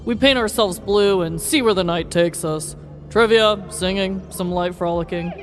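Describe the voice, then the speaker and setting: Casting: a man voicing a jittery, socially awkward neighbor guy, very high voice, light music under. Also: normal speed, lightly acted. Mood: nervous, earnest. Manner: nervous, earnest, oddly candid. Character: awkward anxious man neighbor painted blue.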